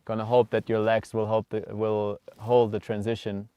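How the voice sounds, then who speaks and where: A man answering as a guest expert in a live studio, calm and measured, a little nervous. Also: lowish voice, clean tone, no echo, highs cut off.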